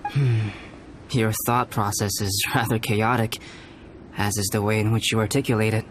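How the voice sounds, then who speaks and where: An actor playing a calm, cerebral young male alchemist in a video game, speaking formally, precisely and with composure. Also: on the low side, moderate pace, noisy background, close to an everyday voice. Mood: wry, amused. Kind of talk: video game character acting. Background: background music.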